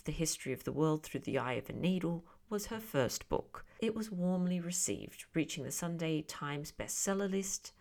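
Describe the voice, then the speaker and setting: A woman's voice: sits low, vocal fry, a little mellow. A woman hosting a podcast, presenting introductions and interview questions. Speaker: podcast host. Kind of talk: scripted podcast narration and interviewing.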